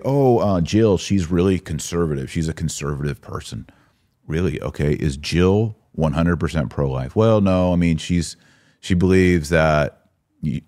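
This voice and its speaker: Low-pitched male voice, American accent, opinionated podcast host, conversational live commentary. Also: a little mellow. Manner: conversational, opinionated, rhetorical questions. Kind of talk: live-stream commentary, answering chat comments.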